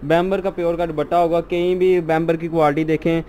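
A young man pitching clothes in a shop, talking eagerly and persuasively into a handheld mic. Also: little pitch movement, fast, a little mellow, slight background noise. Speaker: shopkeeper showing suits. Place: large clothing shop with stacked shelves. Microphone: handheld mic held by the interviewer.

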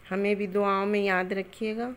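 A middle-aged woman hosting a cooking channel, calmly explaining a recipe step by step in a home kitchen, with homely greetings and blessings. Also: medium pitch, moderate pace, clear, resonant tone.

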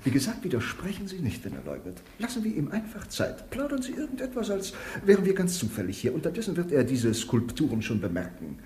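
An actor playing a courteous, slightly awkward, formal learned doctor in a 1950s German radio drama, on an old roomy recording. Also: male voice, on the high side, wildly swooping pitch, fast, hardly any pauses, warm tone, a little hiss or hum. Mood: reassuring, urgent. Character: polite, fussy, slightly awkward male lawyer doctor.

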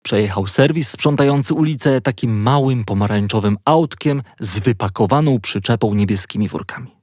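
Guy reading a kids audiobook, playful and expressive, mid pitch, totally dry recording. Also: normal speed, no room sound. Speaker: audiobook narrator. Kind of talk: scripted children's story narration.

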